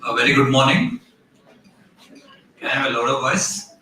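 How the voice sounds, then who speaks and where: Vice principal doing a welcome speech, lots of uh's, crisp edgy voice, big echoey hall w faint hiss. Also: male voice, medium pitch, normal speed, pushed and bright, distant mic. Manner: formal, hesitant with frequent "uh", thanking dignitaries. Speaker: vice principal and department head. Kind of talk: formal welcome speech at a college tech fest. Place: large hall.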